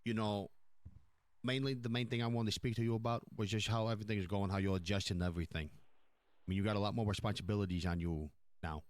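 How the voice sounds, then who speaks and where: A man's voice: on the low side, steady tone, fast talker, no room sound, lightly acted. A man playing a profane, commanding Italian-American mob boss in improvised roleplay, repeating himself for emphasis. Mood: measured, businesslike. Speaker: streamer playing a crime boss in online roleplay. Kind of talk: improvised in-character roleplay dialogue.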